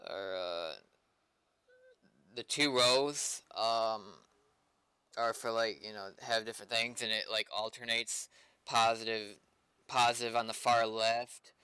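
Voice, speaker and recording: A man talking casually and off the cuff on a stream, halting and rambling, with some swearing. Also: on the low side, fairly even intonation, sharp tone, smooth, dry recording. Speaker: streamer doing game development. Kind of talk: casual unscripted stream chat.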